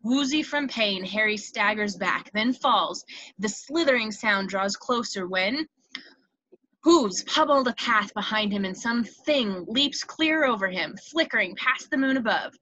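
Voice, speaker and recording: A woman's voice: medium pitch, a little slow, lo-fi sound. A woman reading scripted stage directions as narrator of a table read. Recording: clear steady background noise.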